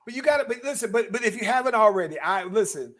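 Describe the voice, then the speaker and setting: A man's voice: high voice, animated, fast, a bit bright. A man co-hosting a podcast in casual chat, who rambles with many restarts and repeated words. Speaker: podcast co-host.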